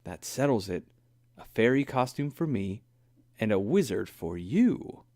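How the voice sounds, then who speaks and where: A young girl bear from a storybook, read by a man, full of plans and sure of herself. The lines are earnest and enthusiastic, with animated pitch movement. They come at an unhurried pace in a dry recording. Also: dry recording. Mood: decisive. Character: bright, decisive young girl bear cub, enthusiastic planner. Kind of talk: children's storybook character voice.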